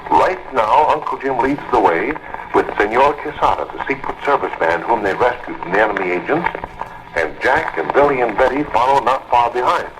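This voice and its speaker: A man's voice: mid-range voice, animated, normal speed. A man announcing an old radio adventure serial in a dramatic, declamatory style. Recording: dull vintage radio recording with music.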